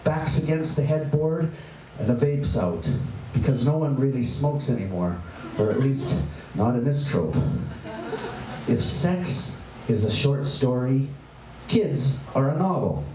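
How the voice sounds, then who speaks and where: A man performing his spoken word poetry live, with a playful, wry and bawdy delivery, jumping in pitch and stretching out words. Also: very animated, unhurried, long pauses, dark tone, slight background noise. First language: English. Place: performance venue. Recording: echoey room, voice from far off, faint ambience.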